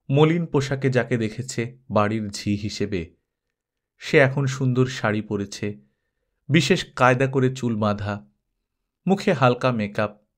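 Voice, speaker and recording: Male audiobook narrator, mid-pitched and animated, for dramatic scripted fiction.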